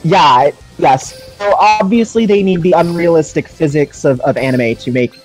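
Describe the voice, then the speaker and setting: A man's voice: a little high, moderate pace, darkish tone, soft background music. A man chatting casually on a game stream, with slangy, sweary talk.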